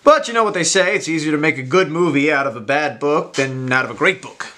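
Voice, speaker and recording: A man off camera reading the part of a calm, seasoned actor in a plain, matter-of-fact way. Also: fairly high pitch, fast talker, a little hiss or hum. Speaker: off-camera scene reader. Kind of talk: reading lines in a scene.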